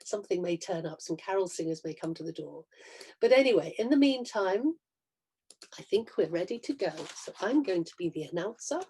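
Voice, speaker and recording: A woman's voice: fairly low pitch, lively intonation, fairly quick, warm tone, breathy edge. A woman chattily and encouragingly welcoming an online audience over a video call, as director and announcer of an amateur show.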